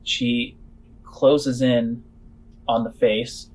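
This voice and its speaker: A man's voice: mid-range voice, sing-song, very slow, gravelly, breathy edge. A man narrating a tabletop roleplaying game as game master, improvising and making spoken sound effects.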